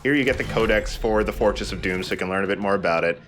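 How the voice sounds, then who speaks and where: Man, low register, informal and keen let's play host, game music underneath. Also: fast talker, a bit bright. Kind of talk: casual game commentary.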